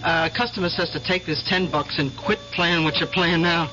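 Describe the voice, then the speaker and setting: A woman's voice: on the low side, fairly even intonation, moderate pace, natural voice. A woman playing a casual bar waitress in a seventies radio drama. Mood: matter-of-fact. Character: casual bar waitress relaying a customer's request. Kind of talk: 1970s radio drama acting. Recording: dull lo-fi radio broadcast, loud music.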